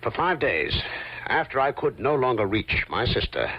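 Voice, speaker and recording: A man's voice: medium pitch, very animated, normal speed, slightly rough. An actor playing an anxious, indignant man in an old-time radio mystery, protesting with flustered stammers. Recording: muffled old radio drama recording.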